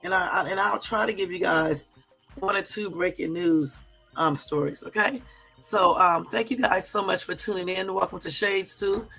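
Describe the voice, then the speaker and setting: Hoarse woman hosting a casual livestream. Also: fairly low pitch, normal speed, a bit bright, soft background music. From US West Coast. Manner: chatty, addresses viewers as y'all, many fillers. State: hoarse from a cold. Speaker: livestream host. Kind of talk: casual live-stream chat.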